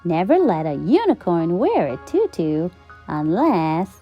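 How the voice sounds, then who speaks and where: A woman narrating a children's story in an expressive, theatrical and emphatic storytime style. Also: lowish voice, husky, highs cut off. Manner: theatrical, emphatic, drawn-out delivery. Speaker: children's storybook read-aloud host. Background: background music.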